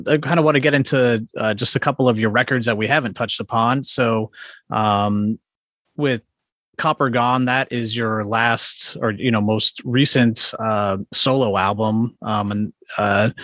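A man's voice: on the low side, fairly bright tone, no echo. A man hosting a casual interview, easygoing, laughing often and filling in with "you know" and "like". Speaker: podcast host.

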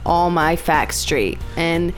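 A woman's voice: on the low side, slow pace, soft background music. Chatty New woman, often restarting. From New York. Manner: talkative, restarts words often. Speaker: podcast co-host. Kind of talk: casual chat.

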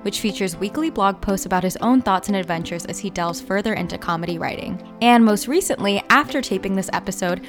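A woman's voice: mid-range voice, a little fast, hardly any pauses, music in the background. A woman with a British accent speaking as the host of an interview podcast. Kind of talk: scripted introduction and casual interview chat.

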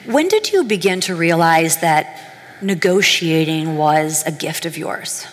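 A woman's voice: low voice, lively intonation, measured pace. A woman hosting an onstage interview, asking questions in an upbeat, playful way. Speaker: interviewer, host. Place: event stage in a large hall. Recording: reverberant hall.

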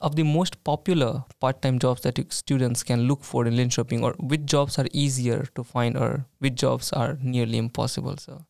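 A man hosting a relaxed conversation, his voice carrying a gravelly, creaky texture. He sounds friendly and unscripted, often stopping on "uh" between thoughts. The recording is dry and close, with little room sound. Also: medium pitch, normal speed, few pauses, dry recording. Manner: friendly, frequent "uh" fillers. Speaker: podcast host. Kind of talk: casual interview chat.

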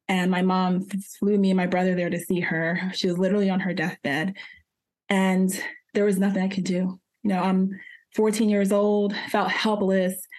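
A woman from Jamaica who was raised in New Jersey, a pharmacist and podcast guest telling her story in a chatty way, with many fillers and frequent laughs. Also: mid-range voice. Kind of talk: casual interview storytelling.

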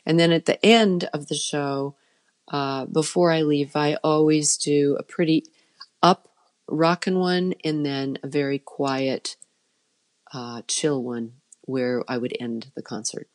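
Middle-aged woman with a soft voice and a low register, casual interview guest. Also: steady tone, slow. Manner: chatty, trailing off and restarting. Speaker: children's musician guest.

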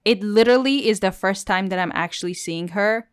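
A woman's voice: mid-range voice, a little fast. A woman hosting a podcast, chatty and enthusiastic, laughing often as she talks with her guest. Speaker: podcast host. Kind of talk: casual podcast interview.

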